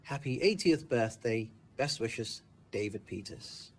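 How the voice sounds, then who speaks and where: A man's voice: mid-range voice, lively intonation, a little slow. A man reading a scripted congratulatory message.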